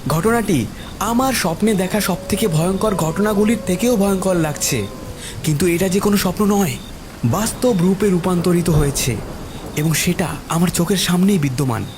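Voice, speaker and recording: A man's voice: sits high, moderate pace, background noise. A man narrating a dramatic first-person story as its storyteller. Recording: loud music behind the voice.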